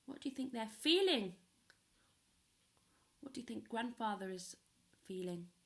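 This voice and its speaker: A woman reading a children's story aloud in an expressive storytelling style. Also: on the high side. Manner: pauses to ask young listeners questions. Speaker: storyteller at a primary school.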